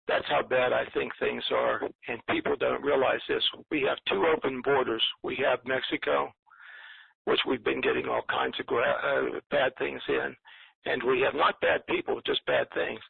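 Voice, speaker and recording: A man calling in to a talk line, speaking in a hesitant, earnest, gloomy and rambling way with a gravelly, husky voice. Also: mid-range voice, no echo. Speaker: caller. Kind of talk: rambling call-in commentary. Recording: narrow muffled phone-line sound.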